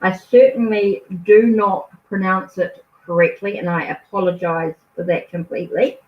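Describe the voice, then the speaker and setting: A woman's voice: medium pitch, steady tone, normal speed. A woman from New Zealand with a New Zealand accent, giving a tarot card reading to a viewer in a reassuring way and often ending phrases with a questioning "okay?". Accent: New Zealand English. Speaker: tarot reader. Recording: echoey, distant, dull lo-fi sound.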